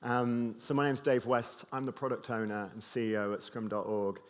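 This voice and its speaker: A man's voice: medium pitch, little pitch movement, moderate pace. Joking British man giving a keynote. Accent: British English with a slight mid-Atlantic tinge. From Britain. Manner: joking and loose, with many fillers and restarts. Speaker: conference keynote speaker. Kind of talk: conference talk to a live audience.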